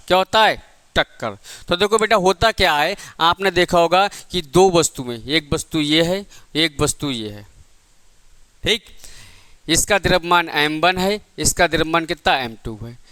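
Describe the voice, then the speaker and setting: A man's voice: a little high, lively intonation, normal speed, bright, forceful tone, no room sound. Male physics tutor, exam coaching, rhetorical questions, clean dry close-mic sound. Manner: asks the class questions and answers them himself; calls listeners "beta" and "bhai". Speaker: physics teacher. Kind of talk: exam-prep physics lesson.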